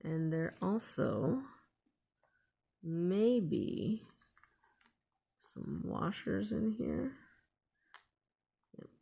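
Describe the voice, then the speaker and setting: A woman's voice: fairly low pitch, animated, very slow, vocal fry, long pauses, warm tone, husky. A woman hosting a casual hands-on DIY tutorial, thinking aloud in an unscripted way as she works. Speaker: DIY video host.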